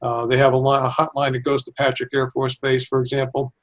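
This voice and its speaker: A man's voice: medium pitch, monotone, brisk. A man giving informal, step-by-step explanations as an engineer being interviewed. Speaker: civil engineer, airport designer being interviewed.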